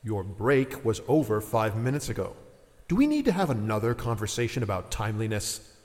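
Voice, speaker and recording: A man's voice: on the low side, animated, a little fast, a little mellow, natural voice. A man playing a stern, impatient restaurant boss in an audio drama, scolding and condescending toward his staff. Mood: irritated. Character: stern, exasperated male restaurant boss, older than his employee. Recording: long reverberant room echo.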